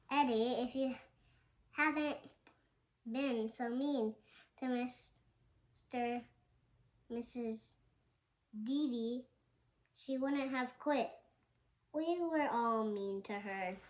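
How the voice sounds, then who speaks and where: A young girl reads a graphic novel aloud in a high voice. Her pace is slow and halting, as she sounds out words along the way. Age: child. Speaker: young reader on a home video.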